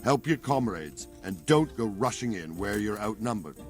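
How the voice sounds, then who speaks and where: An actor playing a blunt, gruff middle-aged veteran soldier commander in a video game cutscene, speaking in plain earthy soldier's talk. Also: male voice, on the low side, lively intonation, normal speed, soft background music, close to an everyday voice. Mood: gruff encouragement.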